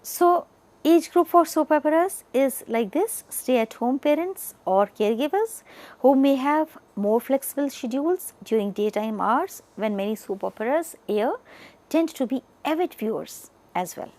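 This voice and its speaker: A woman with an Indian accent delivering an educational lecture in a deliberate way, stressing the starts of phrases and letting the ends trail off. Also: sits high, lively intonation, warm tone. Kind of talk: educational lecture, partly read from notes.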